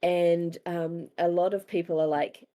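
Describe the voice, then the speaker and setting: A woman's voice: lowish voice, little pitch movement, measured pace, mellow. A woman with a New Zealand accent talking casually as an interviewed author, candid and self-deprecating, acting out bits of dialogue as she tells stories.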